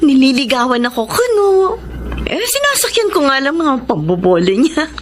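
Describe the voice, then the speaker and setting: A woman's voice: high-pitched, big pitch swings, moderate pace, slight background noise. An actress playing a caring, respectful young single mother in a radio drama, earnest and kind but wary. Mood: wry, guarded. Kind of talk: Filipino radio drama acting.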